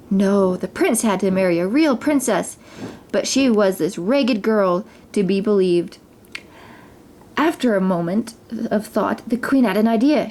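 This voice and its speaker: A woman reading a children's story aloud as narrator, in an expressive, dramatized way. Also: medium pitch. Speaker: mother reading stories for children.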